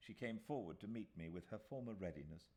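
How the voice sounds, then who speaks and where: A man with a British accent reading literary fiction as a dramatic audiobook narrator, measured and expressive with deliberate pauses. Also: low voice, slightly rough. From Britain.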